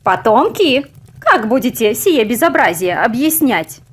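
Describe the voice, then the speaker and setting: A woman's voice: on the high side, very animated, measured pace, breathy edge, full character voice. A woman voicing an old-fashioned, scolding grandmother in a storybook, with a folksy, reproachful and emphatic manner.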